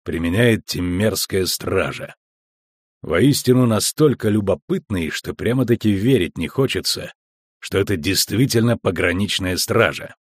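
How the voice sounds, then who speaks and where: A man voicing a calm, ironic monster hunter who speaks slowly and quietly in an audiobook. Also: slightly rough, no room sound, heavily acted. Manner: slow, low-key, ironic.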